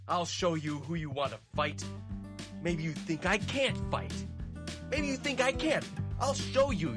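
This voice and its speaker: A woman acts out a furious, defiant man in a dramatic storybook reading. The pitch leaps wildly while the pace stays slow, as he flings taunts and accusations over music. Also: heavily acted. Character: angry, defiant Swedish man, like a mad devil.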